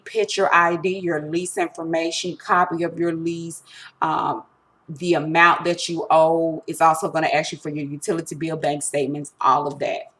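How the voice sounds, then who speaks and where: A woman's voice: lowish voice, fairly bright tone. A woman presenting an informal step-by-step tutorial, instructive and emphatic, often checking that listeners follow. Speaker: resource center presenter giving a tutorial.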